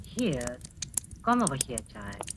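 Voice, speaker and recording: A woman's voice: a bass voice, very animated, slow, full character voice. An actress playing a domineering, sinisterly sweet woman of sixty in 1940s radio style, coaxing and commanding. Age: 60s. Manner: coaxing, commanding, with soft knowing chuckles. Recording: noisy old 1940s radio recording.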